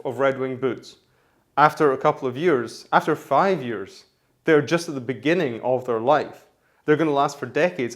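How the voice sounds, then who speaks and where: A man with a hoarse voice from a recent flu talks through his menswear vlog. His pitch sits in the middle range, and his delivery is chatty and rambling, full of little restarts and asides. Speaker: menswear vlogger. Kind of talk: casual vlog talk.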